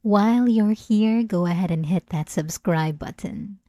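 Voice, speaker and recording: A woman speaking as an ASMR creator, addressing listeners in a soft, intimate, teasing and coaxing way. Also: mid-range voice, measured pace, dry recording, clean studio sound.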